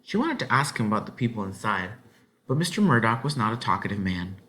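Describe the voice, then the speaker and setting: A man narrating a children's novel aloud in an expressive storybook style. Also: fairly low pitch.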